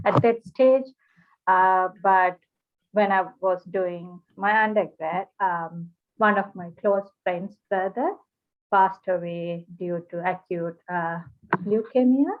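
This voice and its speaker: Sri woman on a tinny call. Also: on the low side. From Sri Lanka. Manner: steady, plain explaining with pauses. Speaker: cancer researcher. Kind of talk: interview answers. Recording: tinny phone-like video call.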